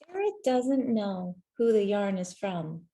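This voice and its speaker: A woman reading a children's story aloud in a gentle, measured way, with deliberate pauses between phrases. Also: mid-range voice, expressive. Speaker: storybook reader. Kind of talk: scripted children's story narration, read aloud.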